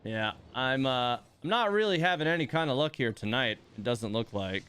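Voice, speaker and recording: A man's voice: medium pitch, animated, moderate pace, fairly bright tone, smooth. A man hosting a game livestream, chatting casually and grumbling in exasperation as he plays. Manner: exasperated grumbling with mild swearing and sighs. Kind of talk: casual livestream chat and reactions while playing.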